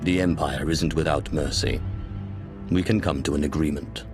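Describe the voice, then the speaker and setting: An actor playing a composed dark lord in a video game, speaking with cool, measured, formal authority. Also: male voice, rumbling low, fairly even intonation, over music. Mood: stern. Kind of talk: dramatic video game voice acting.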